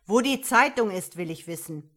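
A woman voicing a nagging, foul-mouthed woman, sharp and demanding, in an audiobook. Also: mid-range voice, animated, moderate pace. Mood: irritated. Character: shrill, nagging, foul-mouthed woman squabbling with her lover.

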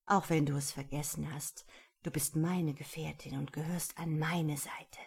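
Woman voicing a hushed, domineering male seducer. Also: sits low, steady tone, measured pace, gravelly, slightly rough, full character voice. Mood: possessive, menacing. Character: commanding, possessive male seducer, menacing and self-assured. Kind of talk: romance audiobook character dialogue.

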